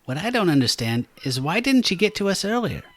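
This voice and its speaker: A man's voice: high voice, very animated, fairly quick, no room sound. An actor playing a blunt, suspicious male police officer who questions people brusquely, in old radio show style.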